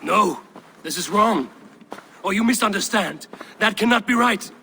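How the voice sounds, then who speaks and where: A troubled young-blooded Viking warrior in a game drama. His voice sits fairly high for a man and is forceful, with a gravelly edge. He speaks earnestly and haltingly, with faint noise behind him. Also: expressive, exaggerated voice. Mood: defiant. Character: intense, troubled male Viking warrior, fiercely loyal to his brother. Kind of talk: dramatic video game acting.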